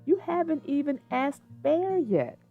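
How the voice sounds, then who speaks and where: Woman reading as animated, gentle mother turtle. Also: high voice, a little slow, phone-quality sound, soft background music, pitched above the performer's own voice, full character voice. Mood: amused, indulgent. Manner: indulgent, gently questioning. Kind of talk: storybook character reading.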